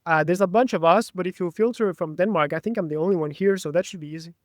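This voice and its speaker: A man's voice: sits high, fast talker, no echo, clean studio sound. A man from Brazil whose first language is Portuguese, talking eagerly as a podcast guest in a casual interview. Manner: enthusiastic, talkative.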